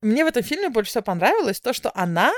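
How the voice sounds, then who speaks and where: A woman co-hosting a podcast, talking in a lively, chatty way and laughing easily. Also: fairly high pitch, racing, no echo, clean studio sound. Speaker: podcast co-host. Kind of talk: casual chat.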